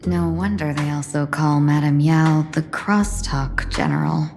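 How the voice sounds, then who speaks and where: An actress voicing a shrewd, composed young female envoy in a video game, measured, wry and coolly polite. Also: deep voice, steady tone, gravelly, over music. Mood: wry, businesslike.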